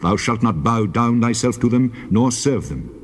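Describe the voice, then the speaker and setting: A man playing God as a stern lawgiver in dramatized scripture, with measured, authoritative, formal archaic delivery on an old cassette. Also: mid-range voice, animated. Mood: solemn, authoritative. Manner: solemn, measured, authoritative declamation. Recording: noisy old cassette transfer.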